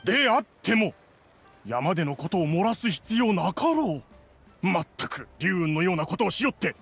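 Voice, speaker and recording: A dignified mountain immortal from an anime game. He speaks in measured, archaic phrases with a grumbling, reproving edge. His pitch is a bit high, over faint music. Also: sing-song, vocal fry, fairly bright tone, slightly rough, heavily acted. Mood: exasperated.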